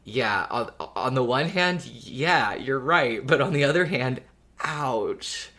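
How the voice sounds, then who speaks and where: A podcast host chatting casually about a favourite story, in an expressive, emphatic way. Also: medium pitch, slow. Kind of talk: casual fan podcast chat.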